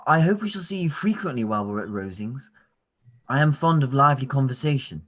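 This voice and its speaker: A man playing a genial, courteous Regency gentleman officer in a radio play, affable and courtly. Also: medium pitch, animated, fairly quick. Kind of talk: radio play live read.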